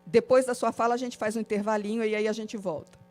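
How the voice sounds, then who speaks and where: A woman leading a citizenship training session, lecturing and listing points in a steady rhythm, often adding a questioning filler. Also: on the high side, fast talker, soft background music. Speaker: course facilitator. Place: meeting room of a training course.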